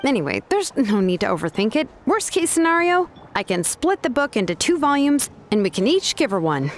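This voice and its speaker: A woman's voice: fairly high pitch, sing-song, brisk, slight background noise. An actress voicing a laid-back, practical young woman in an anime video game, explaining things casually with small hesitations.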